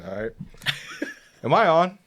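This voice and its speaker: A man's voice: a little high, very animated, slow pace, a bit bright, highs cut off. A man hosting a podcast in casual chat, loose and profane, laughing often. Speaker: podcast host.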